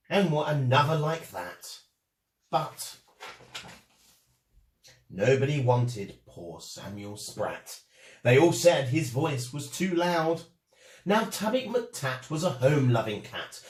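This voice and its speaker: A man telling a rhyming children's story as an expressive storyteller. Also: on the high side. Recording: echoey room.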